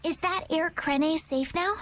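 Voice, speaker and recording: An actress playing a polite, gentle, somewhat anxious young noblewoman in a video game. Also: very high voice, unhurried.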